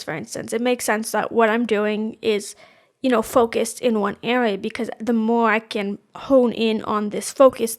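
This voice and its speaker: A woman in her twenties co-hosting a podcast, talking casually and rambling, searching for words with lots of fillers. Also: on the high side. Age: 20s. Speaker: podcast co-host. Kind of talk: casual chat.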